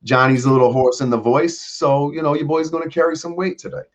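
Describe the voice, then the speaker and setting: A man hosting a casual live show, joking and slangy, shouting out the chat. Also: a little high, fairly even intonation, a little fast. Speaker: host.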